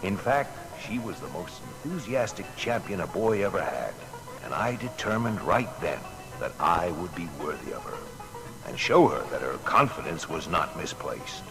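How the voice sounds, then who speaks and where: A man's voice: low-pitched, big pitch swings, normal speed, over music, poor audio. An actor playing a grown inventor who earnestly and reflectively recalls his boyhood in a dramatised biography. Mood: fond, grateful. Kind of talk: dramatised first-person reminiscence.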